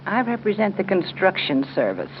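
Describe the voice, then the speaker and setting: Male, high-pitched, short quiz answers, dull vintage 1930s radio recording. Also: huge pitch swings, moderate pace. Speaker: quiz contestant. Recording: muffled, noisy 1930s radio broadcast recording.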